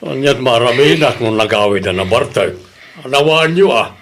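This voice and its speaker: Man as lazy, grumpy Ilocano Filipino village official, medium pitch, brushing people off, radio drama style. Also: animated, normal speed, fairly bright tone. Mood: indifferent. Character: lazy, dismissive male village captain, grumpy and unhelpful. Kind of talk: Ilocano audio drama acting.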